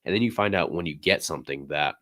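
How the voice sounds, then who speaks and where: A man hosting a casual livestream, slightly hoarse and losing his voice, talking in a relaxed, rambling way with many fillers. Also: rumbling low, fast talker, dry recording, clean studio sound. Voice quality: raspy. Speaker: livestream host.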